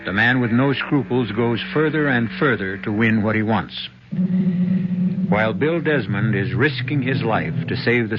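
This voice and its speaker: Low-voiced, portentous radio host. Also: male voice. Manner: measured, portentous. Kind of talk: dramatic scripted narration. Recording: dull 1970s radio, faint noise.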